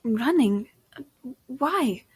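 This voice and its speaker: A woman's voice: a little high, expressive, very slow, slightly rough, heavily acted. A woman playing an earnest, anxious young heroine in a first-person audio drama, with a dramatic delivery. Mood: questioning, concerned. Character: anxious young woman heroine, resourceful, narrating her own mystery.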